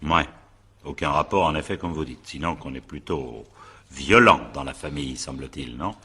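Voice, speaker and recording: An actor playing a brisk, impatient male magistrate in a French radio drama, with an authoritative, clipped and dryly ironic way of questioning. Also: rumbling low, sharp tone, breathy edge, full character voice.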